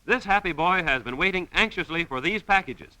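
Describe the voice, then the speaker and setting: Very bright, crisp 1930s American narrator man. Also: high-pitched, lively intonation, fairly quick, dry recording, rough recording. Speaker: newsreel narrator. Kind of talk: scripted 1930s film narration.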